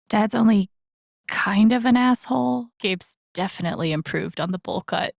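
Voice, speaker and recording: A woman's voice: medium pitch, gravelly, a little mellow, no echo. An actress with an American accent playing an introspective, wry young woman in a video game, murmuring dry asides to herself. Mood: wistful, then dryly amused. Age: young adult. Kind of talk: naturalistic game inner-monologue acting.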